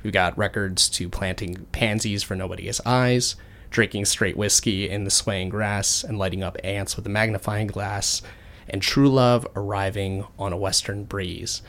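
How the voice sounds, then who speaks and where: Low-voiced man hosting a podcast. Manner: relaxed, measured questions with ums. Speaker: podcast host. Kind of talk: casual podcast interview.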